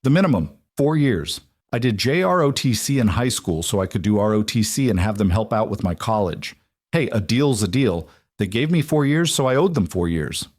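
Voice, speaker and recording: A man's voice: lowish voice, expressive, moderate pace, a little mellow, no room sound, clean studio sound. A man voicing a goofy, chatty male office neighbor who rambles and cracks jokes.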